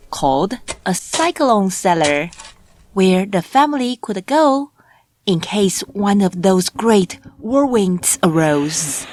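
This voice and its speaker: A woman reads a children's storybook aloud as an audiobook narrator. Her delivery is slow, measured and deliberate, at a medium pitch. Music plays clearly under her voice. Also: a little mellow. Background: background music.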